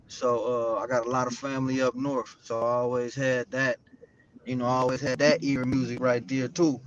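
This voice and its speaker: A man from South Central Los Angeles, a rapper talking as a guest in a casual interview, laid-back and rambling with lots of slang. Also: mid-range voice. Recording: dull lo-fi audio with faint noise.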